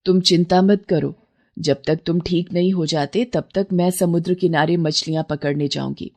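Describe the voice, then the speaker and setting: A woman's voice: on the low side, steady tone, moderate pace, a little mellow. A woman voicing an aging fisherman's wife in a cartoon story, caring and firm as she gives advice. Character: poor aging fisherman's wife, steadfast and wise.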